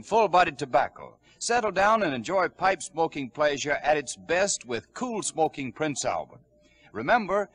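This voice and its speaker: A man's voice: fairly high pitch, fairly bright tone. A man announcing in scripted 1940s radio commercial style, with a polished, emphatic sales delivery on an old broadcast recording.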